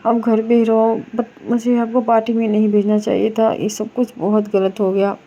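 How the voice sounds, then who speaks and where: A woman voicing a middle-aged mother-in-law who speaks gently and hesitantly, stumbling over her words. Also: a little high, completely flat delivery, highs cut off. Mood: sorrowful. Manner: halting, hesitant, many broken starts.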